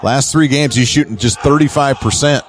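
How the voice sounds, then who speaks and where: A man's voice: mid-range voice, normal speed, some chatter behind, a little hiss or hum. A man doing live basketball play-by-play in clipped running phrases, with emphatic calls. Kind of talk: live sports commentary. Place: courtside at an indoor basketball game.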